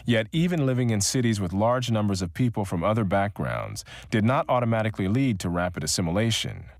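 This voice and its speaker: A man reading a history audiobook in a measured, even-toned narration. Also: lowish voice, no echo, clean studio sound.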